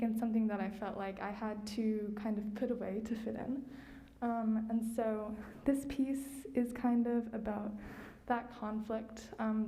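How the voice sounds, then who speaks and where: A teenage woman presenting her own artwork, speaking softly and modestly with frequent ums and pauses. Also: on the high side. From United States (Indiana). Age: late teens. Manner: many ums, soft and modest. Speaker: student artist presenting her work. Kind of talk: hesitant personal presentation.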